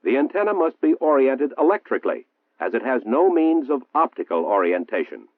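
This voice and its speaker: A man's voice: mid-range voice, very animated. American man narrating, tinny old film soundtrack. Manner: formal, measured, precise enunciation. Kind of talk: 1960s military instructional film narration. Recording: muffled, roomy 1960s film soundtrack, phone-like.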